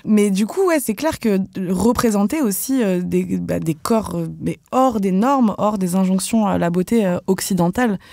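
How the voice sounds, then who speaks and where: Candid young woman with a mellow voice. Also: mid-range voice, moderate pace, creaky, hardly any pauses, no room sound, clean studio sound. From France. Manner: candid, animated, casual slang with frequent fillers. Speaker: guest, professional dancer. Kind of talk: podcast interview.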